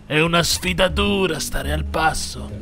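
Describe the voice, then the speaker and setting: A man acts out a weary middle-aged cartoon wife in a mock documentary. The voice is raised in pitch and heavily creaky, grumbling and deadpan. Music plays clearly underneath. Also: unhurried, exaggerated voice. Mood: resigned. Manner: grumbling, deadpan, foul-mouthed. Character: bitter, world-weary middle-aged toon wife, obese, complaining. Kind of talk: mock-documentary cartoon confession, Italian dub parody.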